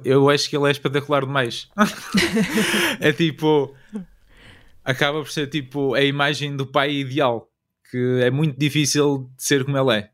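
Man, European Portuguese accent, mid-pitched, casual, informal, hesitant interviewed father. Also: fairly even intonation, moderate pace, pauses often, a bit bright.

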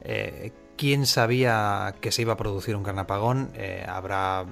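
Low-voiced Spanish man answering questions. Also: unhurried, gravelly, a bit bright, faint music behind. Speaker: scriptwriter answering listener questions. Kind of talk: casual Q&A answers.

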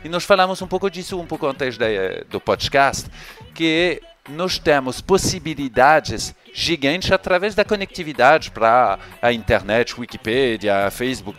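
A man from France with a French accent, a guest explaining his views in an interview in an unhurried way. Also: medium pitch, a bit bright. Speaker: guest, event curator. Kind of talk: podcast interview.

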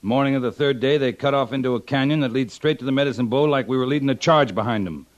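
An actor playing a firm, plain-spoken cavalry scout with an American accent, reporting matter-of-factly in an old-time radio drama. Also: male voice, mid-range voice, fast, no room sound, muffled recording, lightly acted. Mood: insistent. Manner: matter-of-fact, clipped, assured.

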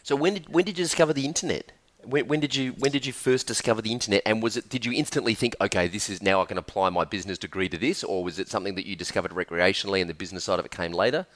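A man's voice: on the low side, racing, a bit bright. Upbeat Australian man hosting a podcast. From South Australia. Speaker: podcast host. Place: customer's office reception desk.

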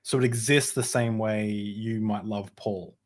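Halting man hosting a podcast. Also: on the low side, fairly even intonation. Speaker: podcast host. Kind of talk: casual conversation.

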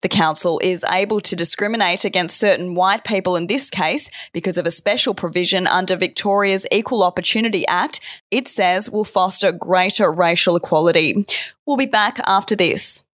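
A woman's voice: on the low side, moderate pace, a bit bright, no echo, studio quality. An Australian woman presenting scripted news headlines as a newsreader.

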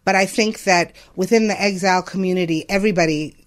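A person in their late thirties from Zambia, speaking as an interview guest in an earnest, thoughtful way, often pausing and restarting. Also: medium pitch. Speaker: interview guest, foundation representative. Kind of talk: reflective conversational interview.

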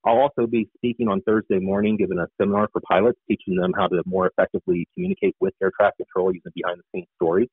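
Guy on a radio interview, talks quick, kinda rough low voice, explains stuff casually, sounds like a phone call. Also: lively intonation, no room sound. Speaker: interview guest, author and air traffic controller. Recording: narrow phone-like line.

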